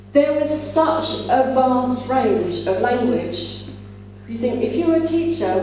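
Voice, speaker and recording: A very echoey, distant recording of a woman presenting to teachers in a large room, with faint noise behind her. Her voice is soft-edged and mellow. She explains in a conversational, instructive way, as a plenary speaker at a conference. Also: on the high side. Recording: echoey hall, distant mic, faint hum.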